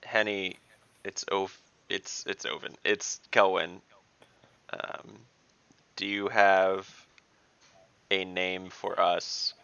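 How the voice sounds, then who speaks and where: A man's voice: sits low, level tone, drawn-out words, gravelly, pauses often, sharp tone, smooth, no room sound, lo-fi sound. A man chatting casually as a player in an online tabletop fantasy game. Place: online voice call.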